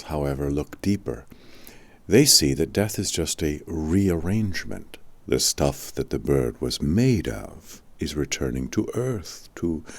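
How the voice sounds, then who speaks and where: A man voicing a wise old wizard mentor in an audiobook, speaking in a calm, patient, measured teaching manner. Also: rumbling low, heavily acted. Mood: calm, knowing. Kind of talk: audiobook storytelling.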